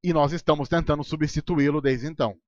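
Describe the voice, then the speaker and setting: A man's voice: fairly high pitch, steady tone, fast, dry recording, lo-fi sound. A man preaching a sermon as pastor in a Brazilian Portuguese accent, talking conversationally with sarcastic asides and ironic voices. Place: church hall.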